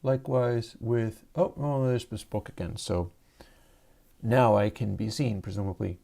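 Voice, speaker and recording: A man hosting a casual livestream and explaining his audio gear step by step in a soft, soothing voice, talking into a lavalier mic. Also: lowish voice, measured pace, creaky. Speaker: livestream host and audio developer.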